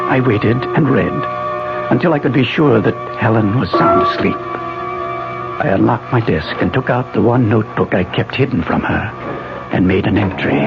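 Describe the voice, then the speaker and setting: An actor playing an obsessive, secretive scientist husband in a 1970s radio drama, speaking in a deliberate, controlled, persuasive way. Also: male voice, lowish voice, gravelly. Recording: muffled 1970s radio broadcast, music behind.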